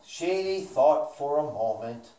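A woman's voice: low voice, animated, unhurried, mellow. A woman narrating a children's puppet show story in an indoor room. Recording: echoey room, distant mic, faint noise.

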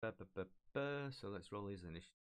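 Clear-voiced middle-aged man running a game. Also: lowish voice, animated. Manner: halting, hums filler syllables while thinking. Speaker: game master. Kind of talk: improvised tabletop game narration and rules talk. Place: small indoor room, online video call.

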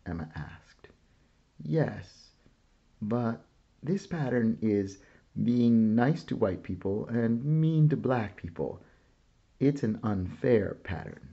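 Male storybook reader, slow and gravelly, on a muffled home recording. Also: expressive. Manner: slow, gentle reading with lifted pitch for child characters' lines. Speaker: picture book reader. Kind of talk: children's book read-aloud.

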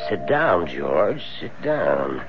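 Low-voiced, tactful older law boss. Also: male voice, very animated, very slow, over loud music, exaggerated voice. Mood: considerate. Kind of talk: 1970s radio drama acting. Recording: dull, faintly noisy vintage radio recording.

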